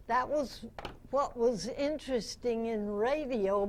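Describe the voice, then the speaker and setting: A woman in her nineties, a veteran radio actress, reminiscing as a guest speaker in an anecdotal way. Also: a little high. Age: 90s. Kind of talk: unscripted reminiscing to a club audience. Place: club meeting room, in front of an audience.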